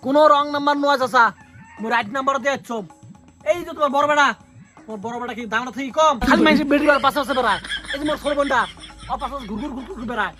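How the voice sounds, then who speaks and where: A man's voice: very high voice, racing, bright, forceful tone, soft background music. A man with a northern Bangladeshi accent playing a threatening, boastful village tough guy and protective older brother in a comedy skit.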